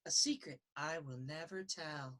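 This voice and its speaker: A woman reading a children's picture book aloud in a sing-song, rhythmic way, with a slightly husky voice. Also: deep voice, measured pace, fairly bright tone. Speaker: story reader. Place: indoors in a children's library.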